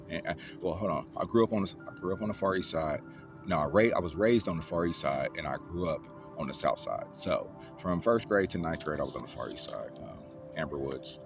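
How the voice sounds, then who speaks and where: A young man telling his life story in a rambling, profane, casual way, with many restarts. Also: low voice. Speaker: vlogger. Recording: loud music playing behind the voice.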